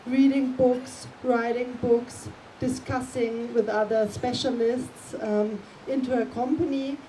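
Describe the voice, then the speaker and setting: A middle-aged woman speaking English with a German accent as a panel guest, pausing often with ums as she searches for words. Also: a little high, steady tone, warm tone. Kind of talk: casual panel talk. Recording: distant mic, faint room noise.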